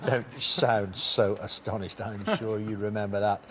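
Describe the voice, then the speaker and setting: A man's voice: on the low side, fairly even intonation, unhurried, over a noisy crowd. A man hosting a television quiz show, chatty and jokey, with frequent fillers.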